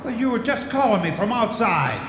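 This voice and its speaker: An actor playing an anxious, stubborn older lighthouse keeper in a radio drama, agitated and halting when rattled. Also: male voice, high voice, big pitch swings. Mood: frightened. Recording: echoey, noisy cassette of radio drama.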